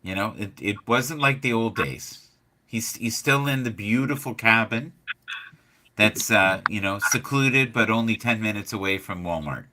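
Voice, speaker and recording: A man hosting a casual live chat show, speaking in halting starts with frequent restarts. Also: on the low side, bright, forceful tone, a little hiss or hum. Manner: halting, restarts, many fillers. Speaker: host.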